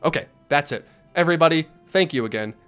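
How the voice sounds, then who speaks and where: A man's voice: on the high side, animated, fast talker, clear, resonant tone, soft background music. A man with a head cold, sounding congested and nasal, talking casually through a video essay with rambling jokes and asides. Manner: rambling, joking asides, warm thanks.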